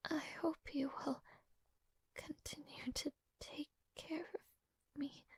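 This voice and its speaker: A high, whispery young princess, played by a woman, frail and gentle in a romantic fantasy roleplay. She speaks extremely slowly and formally, with hesitant pauses and a creaky edge to her soft voice. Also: level tone, no room sound. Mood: weak, grateful, tender. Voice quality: breathy, whispery. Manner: slow, hesitant, softly formal. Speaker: voice actor in audio roleplay.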